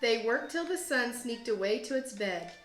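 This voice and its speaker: A woman's voice: a little high, expressive, normal speed, roomy sound, soft background music. A woman who teaches second grade, reading and explaining things to children in an encouraging, self-deprecating way. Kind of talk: read-aloud storytelling and casual explaining for children.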